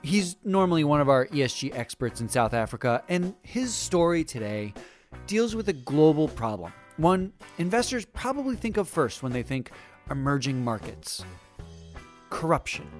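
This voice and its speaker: Very animated American male podcast host. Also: fairly high pitch. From United States. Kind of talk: conversational scripted podcast hosting.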